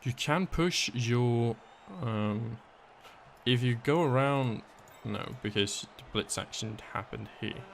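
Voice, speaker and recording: A male caster calls a live video game match in a raspy, worn-out voice with a gravelly edge. He walks through each play out loud, weighing options as he goes. A faint noise sits under the recording. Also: medium pitch, slow pace. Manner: analytical, thinking aloud, thanks viewers. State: hoarse.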